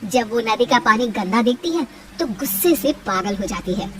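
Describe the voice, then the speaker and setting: A woman's voice: a little high, lively intonation, moderate pace, noisy background. A woman narrator reading a scripted children's spooky story. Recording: slightly distant voice under clear music. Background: background music.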